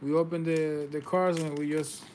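A man's voice: on the high side, little pitch movement, normal speed, darkish tone, slight background noise. A man, whose first language is Spanish, hosts a live trading card break, calling out players and congratulating viewers in slightly non-native phrasing. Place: indoor table with card boxes.

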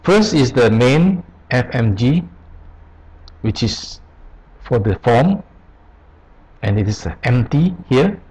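Man, software screencast presenter, husky voice, unhurried halting delivery, short step-by-step phrases. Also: mid-range voice, big pitch swings, rough recording. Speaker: tutorial presenter. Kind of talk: unscripted software screencast tutorial. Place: voice-over on a screen recording.

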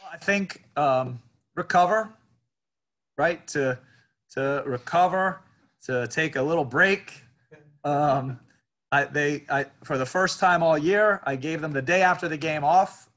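Man, halting coach at press conference. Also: fairly high pitch, a bit bright. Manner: halting, repeats words as he thinks aloud. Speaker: college soccer head coach.

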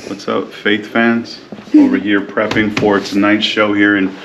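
A man's voice: fairly low pitch, level tone, unhurried. A man talking casually to the camera for a vlog. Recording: dull lo-fi audio, faint noise.

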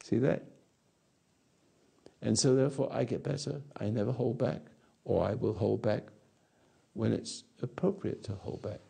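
A man in his eighties giving a rambling philosophical talk to an audience on a lapel mic, halting and repeating his words. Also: medium pitch, gravelly, mellow, husky. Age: 80s. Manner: gestures with hands while talking. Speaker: speaker answering audience. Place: dark stage or studio.

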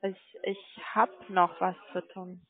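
A woman's voice: on the low side, completely flat delivery, takes it slowly. A woman on a sales call, formal, polite but firm. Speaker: call centre sales agent. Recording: narrow phone-line audio.

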